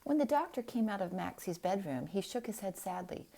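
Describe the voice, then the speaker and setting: A woman reading a storybook aloud in an expressive style, with deliberate, dramatic phrasing. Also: mid-range voice. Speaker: storybook reader.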